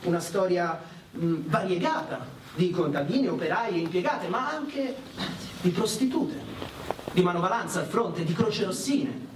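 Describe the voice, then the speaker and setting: Male narrator: high voice, solemn scripted history with dramatic pauses, roomy sound over loud guitar. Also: animated, far from the mic, noisy background. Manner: solemn, measured, theatrical pauses.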